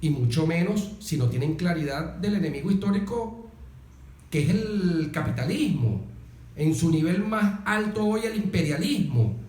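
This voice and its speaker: A man with a Venezuelan accent discussing politics earnestly as a guest, emphatic and deliberate, building rhetorical series by repeating phrases. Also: a little high, lively intonation, darkish tone. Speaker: interview guest, political commentator. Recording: roomy, echoing sound.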